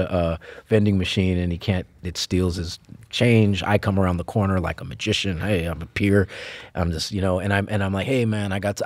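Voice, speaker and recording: A man's voice: lowish voice, no room sound. A man, an actor guest on a podcast, telling stories in casual chat, acting out quotes and laughing often. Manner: animated storyteller, acts out quotes, laughs often.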